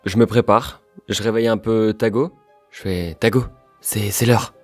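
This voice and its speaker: A man's voice: lowish voice, fairly even intonation, moderate pace, faint music behind. A man playing in a tabletop game, easygoing and rambling as he says what his character does. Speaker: player. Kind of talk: casual tabletop roleplay.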